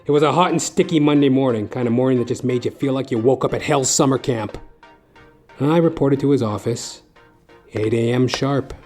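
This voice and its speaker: A man playing a sardonic, world-weary private detective, narrating deadpan in hard-boiled style for an audio dramedy. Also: medium pitch, brisk, close to an everyday voice. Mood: wry, world-weary. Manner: wry, deadpan wisecracking. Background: music behind the voice.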